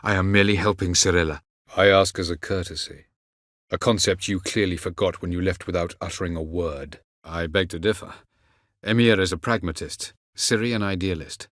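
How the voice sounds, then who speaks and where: Low-voiced, haughty ancient elven sage. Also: male voice, steady tone, a bit bright, dry recording, clean studio sound. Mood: cool, condescending. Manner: measured, condescending, formal archaic phrasing. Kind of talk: video game character acting.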